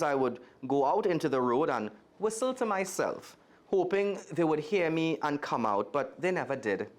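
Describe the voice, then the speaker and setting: Male storyteller, Trinidadian accent, very lively pitch, expressive, talks straight to young listeners. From Trinidad and Tobago. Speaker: guest storyteller. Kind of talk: animated read-aloud of a children's story.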